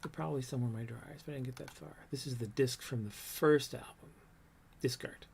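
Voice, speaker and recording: A man in his fifties with a low, soft voice, reminiscing casually on a livestream about his old art, with many ums and trailing thoughts. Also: fast, vocal fry, slight background noise. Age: 50s. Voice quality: low, soft voice that doesn't project. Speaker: comics artist showing his old work.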